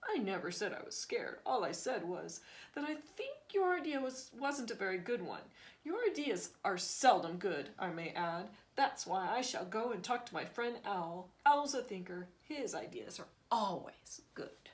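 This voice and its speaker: A woman's voice: mid-range voice, sing-song, unhurried. Woman doing a pompous husband crow. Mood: haughty. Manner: haughty and lofty.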